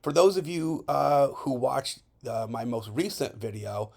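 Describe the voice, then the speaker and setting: A male science teacher narrating a slide lesson in a chatty way, checking in with students and saying his voice is still recovering from hoarseness. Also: medium pitch, lively intonation, measured pace, darkish tone. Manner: chatty, checks in with frequent "okay?". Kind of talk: recorded classroom lesson over slides.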